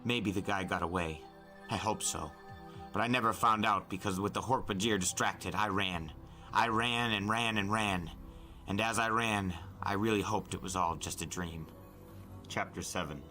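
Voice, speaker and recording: A man with a fairly low, forceful and bright voice reads a story aloud in the first person. He delivers it as audiobook-style narration, with music playing behind him. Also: normal speed. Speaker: host reading a novel aloud.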